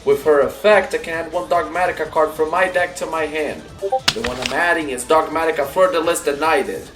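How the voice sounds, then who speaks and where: A man's voice: a little high, a little fast, natural voice. Echoing, confident anime-style duelist man acting. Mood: determined. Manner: declamatory, announces each move formally. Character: confident male card duelist, chanting dramatic summons. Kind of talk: fan roleplay anime-style duel acting. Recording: roomy echo under music.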